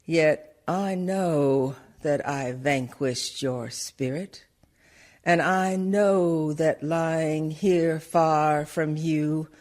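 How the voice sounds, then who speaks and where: A man playing a very slow-speaking, forgotten dead villager. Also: on the high side, a little mellow. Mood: quietly triumphant. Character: dead, forgotten villager, quietly proud and unconquered. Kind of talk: slow dramatic verse monologue for radio.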